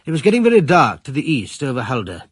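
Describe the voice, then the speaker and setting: An actor playing a grave, commanding middle-aged British gentleman adventurer, narrating a radio drama in measured, literary fashion. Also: mid-range voice, wildly swooping pitch, slightly rough, no echo, studio quality. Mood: grave, tense.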